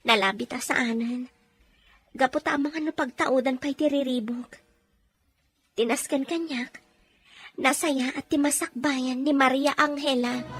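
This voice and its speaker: A scheming, bossy secretary in an Ilocano radio drama. The voice is high and a little husky, pressing every point dramatically. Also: female voice, fairly even intonation, a little slow, pauses often. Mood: insistent, scheming. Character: scheming, insistent woman secretary, plotting and bossy.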